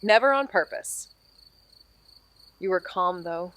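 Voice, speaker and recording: A woman's voice: lowish voice, expressive, slow, fairly bright tone. Casual, goofy young woman sleuth. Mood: playful. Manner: casual, slangy, playful. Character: earnest, goofy young woman amateur detective. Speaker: lead actor in an audio drama. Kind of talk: naturalistic podcast drama acting.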